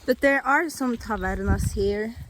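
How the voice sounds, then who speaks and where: Slow, dreamy woman vlogging travels. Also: on the high side, a little hiss or hum, poor audio. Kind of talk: travel vlog narration.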